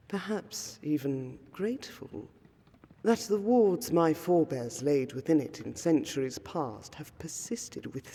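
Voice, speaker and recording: Woman as slow, low-voiced, melancholy vampire noblewoman. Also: mellow, husky, heavily acted. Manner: formal, archaic, ornate diction, measured. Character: refined, melancholy, courteous ancient vampire noblewoman. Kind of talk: fantasy roleplay audio, scripted monologue to the listener. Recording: long cavernous hall reverb.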